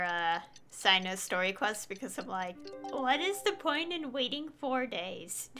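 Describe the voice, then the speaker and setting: Woman streamer, casual gameplay commentary, lively pitch movement, bright edge. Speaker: game streamer.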